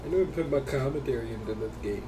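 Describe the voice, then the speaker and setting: A man's voice: mid-range voice, very fast, background noise. A man giving casual, gushing commentary over a game playthrough, his voice prone to leaping into squeals. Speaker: gameplay video host.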